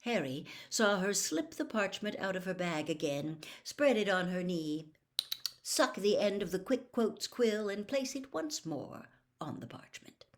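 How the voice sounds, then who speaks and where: A woman narrating a children's fantasy novel in an expressive read-aloud style. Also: mid-range voice. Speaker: audiobook narrator.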